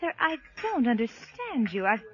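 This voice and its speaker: A woman's voice: fairly high pitch, sing-song, normal speed, fairly bright tone, breathy edge, full character voice. An actress playing a smart, poised, ambitious career woman in a 1940s radio drama. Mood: concerned. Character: smart, ambitious female publishing promotion head, poised. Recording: muffled vintage radio recording.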